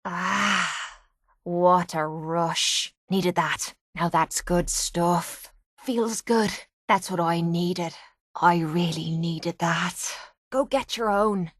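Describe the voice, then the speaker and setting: Brash Irish tough gal. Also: female voice, fairly low pitch, drawn-out words, no echo, clean studio sound. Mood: relieved, blissful, then casual, wry. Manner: blunt, cocky, sardonic. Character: tough, brash, foul-mouthed young woman brawler and mercenary. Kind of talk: video game companion dialogue.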